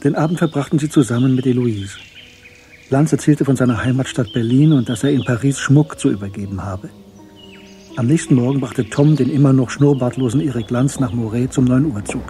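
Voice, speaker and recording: A man narrating a German radio crime drama in a measured, matter-of-fact way. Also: medium pitch, warm tone, soft background music. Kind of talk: scripted audio drama narration.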